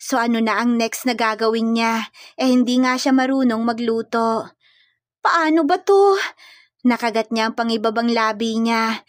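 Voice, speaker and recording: High-voiced woman narrating a story. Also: monotone, normal speed, no room sound, clean studio sound. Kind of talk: scripted narration of romantic comedy fiction.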